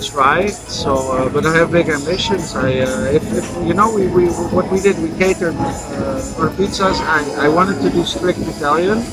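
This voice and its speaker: A man from the Netherlands and France, a restaurant owner talking in a casual interview at a market stall, relaxed and halting with many restarts. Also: a little high, loud music behind the voice, poor audio. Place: busy market food stall at night.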